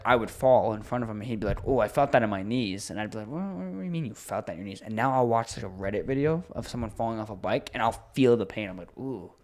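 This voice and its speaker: Young man, slangy teasing podcast chat. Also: mid-range voice. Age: early 20s. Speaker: podcast co-host. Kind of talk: casual banter with a friend.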